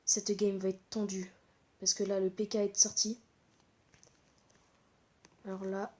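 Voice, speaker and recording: A woman's voice: medium pitch, level tone, takes it slowly, dark tone. Female gaming host, stuffed up with a cold, exclamatory, commentating a match as it happens. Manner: exclamatory, repeats short interjections. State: congested with a cold. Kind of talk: live excited gameplay commentary.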